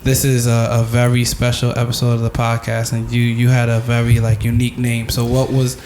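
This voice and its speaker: A young man hosts his podcast, bragging and loose, with plenty of slang and fillers. His delivery stays flat and even in pitch. Faint background noise sits under the voice. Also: a little fast. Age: young adult. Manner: boastful, slangy, lots of fillers. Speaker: podcast host. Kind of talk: casual interview chat.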